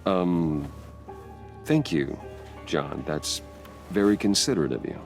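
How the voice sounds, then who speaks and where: An actor playing a grave, guarded, guilt-ridden man in a video game, speaking in a measured, restrained way. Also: deep voice, animated, gravelly, a little mellow, faint music behind, full character voice.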